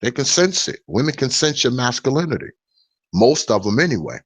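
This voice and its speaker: A man's voice: medium pitch, very animated, brisk, dry recording. A man speaking as an interview guest, blunt and opinionated, filling his speech with "you know". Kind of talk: casual video interview chat.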